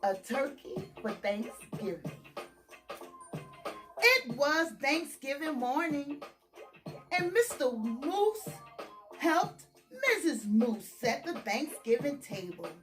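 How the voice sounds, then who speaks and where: A woman's voice: high-pitched, big pitch swings, takes it slowly, long pauses, over music. A woman hosting a children's storytime in a theatrical, emphatic, singsong read-aloud style, drawing out and repeating phrases.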